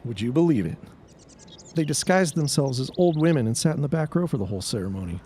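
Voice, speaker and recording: A man playing an easygoing, folksy young man in an audio drama, speaking in an animated way. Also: medium pitch, quick pace, a little hiss or hum, close to an everyday voice. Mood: amused.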